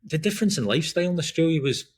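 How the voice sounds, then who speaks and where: Scottish man with a husky voice. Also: on the high side, animated, rapid-fire. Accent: Scottish, Glasgow. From Scotland. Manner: rambling, chatty, frequent restarts and ums. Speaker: guest, breath work and running coach. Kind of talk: casual podcast conversation.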